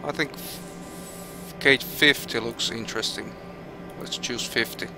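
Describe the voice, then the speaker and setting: Slow-talking Finnish man. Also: medium pitch, fairly even intonation, background noise. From Finland. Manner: unhurried, reads out weather and route, hesitant restarts. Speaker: flight sim streamer. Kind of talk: live gameplay commentary, thinking aloud.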